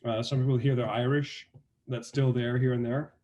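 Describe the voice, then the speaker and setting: Danish guy, mid-pitched, loose and chatty interview guest who restarts his thoughts a lot. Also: normal speed, highs cut off. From Denmark. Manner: relaxed storytelling with many restarts and fillers. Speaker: actor and guest. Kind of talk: casual interview talk.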